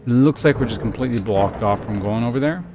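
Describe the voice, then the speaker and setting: A man's voice: mid-range voice, fast talker, background noise. A man casually commentating on a video game live, thinking aloud in a hesitant way as he chats with viewers.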